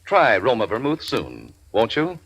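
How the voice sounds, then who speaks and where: A man reading a 1940s radio commercial in a smooth, persuasive way. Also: mid-range voice, wildly swooping pitch, a little slow, fairly bright tone, no room sound. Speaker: commercial announcer.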